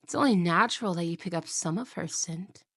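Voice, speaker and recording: A woman voicing a possessive, jealous young wife in an ASMR roleplay, talking softly and slowly in whispers, sweet on the surface and menacing underneath. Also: fairly low pitch, no room sound, clean studio sound, lightly acted. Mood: quietly menacing. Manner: soft, slow, whispery, with giggles.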